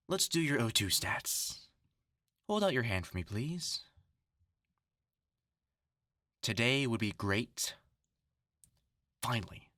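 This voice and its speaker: A man's voice: medium pitch, sharp tone, no room sound, studio quality, exaggerated voice. A man acting a vengeful, sarcastic doctor in a horror audio roleplay, taunting with mock care and swearing. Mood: mock-caring, mocking, then irritated, angry. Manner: taunting, mock-caring, swinging into angry swearing. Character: vengeful, sarcastic male doctor turned on his former research partner.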